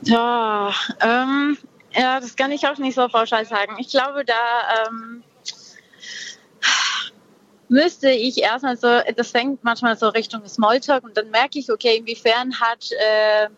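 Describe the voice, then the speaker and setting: A young woman talks slowly and reflectively, searching for her words with frequent fillers. Her somewhat high voice comes through dull, lo-fi video call audio. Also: fairly even intonation, a bit bright. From Peru. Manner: reflective, slow, hesitant with many fillers. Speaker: interviewee. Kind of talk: casual conversation.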